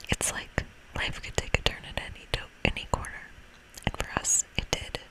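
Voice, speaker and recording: A host whispering softly and chattily through an ASMR video game playthrough, speaking without hurry. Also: moderate pace, rough recording.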